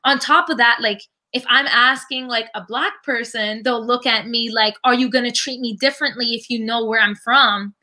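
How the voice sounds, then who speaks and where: Bright, high-voiced Canadian woman. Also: normal speed, hardly any pauses. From Toronto, Canada. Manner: animated, slangy, acts out quoted conversations. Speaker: podcast guest. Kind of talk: casual chat, personal storytelling.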